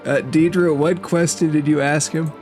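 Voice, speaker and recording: A man's voice: fairly high pitch, steady tone, measured pace, a little mellow, soft background music. A man casually narrating a tabletop game as game master and asking players what they do.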